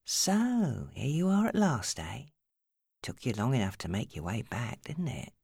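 A woman's voice: extremely low pitch, very animated, measured pace, creaky, a little mellow, slightly rough, no echo, heavily acted. A menacing club boss, acted by a woman reading an audiobook. He sneers with smug contempt and hisses when crossed. The recording is close and dry. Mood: quiet menace. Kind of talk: audiobook character acting.

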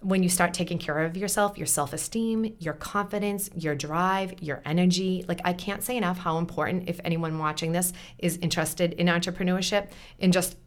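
A woman's voice: fairly low pitch, steady tone, moderate pace, few pauses. A woman in her forties with a Boston-area accent, chatting earnestly as a podcast guest and giving encouraging, motivational advice. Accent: Boston-area American. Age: 40s. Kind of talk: casual chat.

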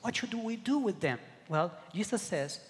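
A middle-aged man from Egypt preaching a sermon, earnest and halting, often repeating words as he searches for the next one. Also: sits high, lively intonation, long reverb tail. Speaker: pastor.

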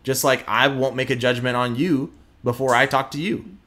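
A man's voice: medium pitch, normal speed, a bit bright. A young man from Houston with a slight Texas twang, speaking earnestly and emphatically as an interview guest, often restarting his sentences. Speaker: guest, prosecutor. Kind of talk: candid interview conversation.

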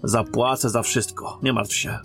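A man acting a courteous royal emissary and captain in a fantasy audio drama, calm and authoritative. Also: medium pitch, unhurried, soft background music.

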